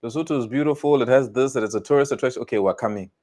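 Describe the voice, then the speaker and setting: A South African man rants through heated commentary, emphatic and scolding. His voice sits at an everyday middle pitch. He hammers each warning home and repeats it. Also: racing, darkish tone.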